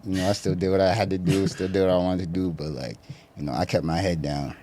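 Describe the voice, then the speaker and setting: A young man in his twenties talks about growing up in a low, easygoing voice. He is a rapper sitting in as a guest on a podcast, and he drifts into slang and filler phrases as he goes. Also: fairly even intonation, quick pace, mellow, a little hiss or hum. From Bridgeport, Connecticut. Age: 20s. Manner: laid-back slangy talk with frequent fillers. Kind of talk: casual podcast interview.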